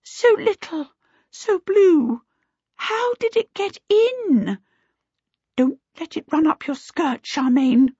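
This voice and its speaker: A woman's voice: very high-pitched, big pitch swings, measured pace, a little mellow, no room sound, highs cut off, voice pushed up, full character voice. A woman voicing a fussing, easily frightened mother in a storybook, with a gasping, quavering, wailing delivery. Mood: frightened, flustered.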